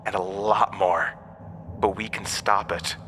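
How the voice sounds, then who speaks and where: A piercingly sharp, forceful voice rings through a long, cavernous reverb. It is an ancient inhuman outcast, bitter and manipulative, telling its tale with taunting theatrical emphasis, as in a horror audio drama. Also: male voice, fairly low pitch, steady tone, slow pace, a little hiss or hum. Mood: persuasive, menacing.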